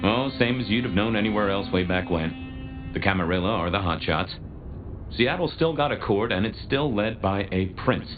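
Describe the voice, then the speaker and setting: A man's voice: on the low side, animated, normal speed, close to an everyday voice. An actor voicing a chatty, wry, century-old male vampire in a video game, with a folksy, theatrical delivery. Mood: wry amusement. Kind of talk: video game dialogue acting. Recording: muffled game audio under music.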